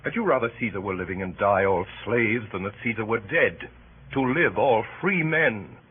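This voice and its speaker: An actor playing a solemn, honorable Roman senator giving measured classical oratory in a radio drama. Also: male voice, fairly low pitch, expressive, breathy edge. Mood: impassioned appeal. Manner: deliberate, measured rhetoric. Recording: tinny old radio recording, faint noise.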